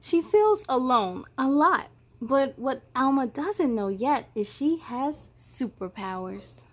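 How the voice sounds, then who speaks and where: Female children's story host, high voice, expressive and playful read-aloud delivery. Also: takes it slowly. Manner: expressive, playful, addresses young listeners directly.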